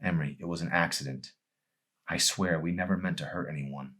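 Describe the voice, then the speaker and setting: A man voicing a tormented, withdrawn young man in an audiobook, halting and anguished with guilt. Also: low-pitched, fairly even intonation, a bit bright. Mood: remorseful.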